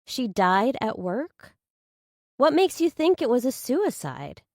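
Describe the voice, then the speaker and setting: A narrator acts out a blunt, sarcastic woman in distress over her sister. She fires challenging, incredulous questions, her pitch lifted, in a dry audiobook recording. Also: very animated, slow pace, darkish tone, no room sound, full character voice. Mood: shocked, pressing.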